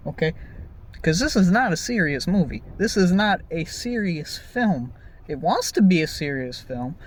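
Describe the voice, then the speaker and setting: A man's voice: fairly high pitch, slight background noise. A man giving an exasperated, profane, comedic movie review in a conversational storytelling way, with exaggerated emphasis. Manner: exaggerated, animated emphasis with repeated phrases. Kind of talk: ranting, comedic film review commentary.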